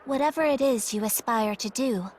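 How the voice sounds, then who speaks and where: A woman playing a composed, formal and wise figure in a video game, with measured phrasing. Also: a little high, darkish tone, slight background noise, lightly acted. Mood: calm, formal.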